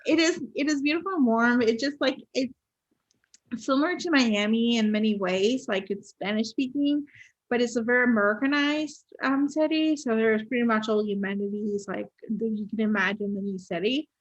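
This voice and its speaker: A woman's voice: fairly high pitch, normal speed, lo-fi sound. A woman from Puerto Rico hosting a book podcast, chatting casually with many "like" fillers. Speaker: podcast host. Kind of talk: casual interview chat.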